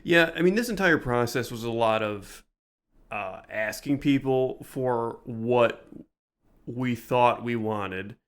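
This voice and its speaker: A man co-hosting a casual podcast, talking in reflective, halting phrases with wry asides. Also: medium pitch, a bit bright, clear, resonant tone. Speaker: podcast co-host.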